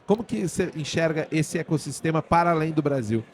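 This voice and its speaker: Um homem apresentando um podcast, fazendo perguntas num tom informal e cheio de gíria. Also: male voice, a little high, a little fast, slight background noise. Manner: informal, slangy questioning. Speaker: podcast host. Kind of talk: casual interview chat. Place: event podcast set.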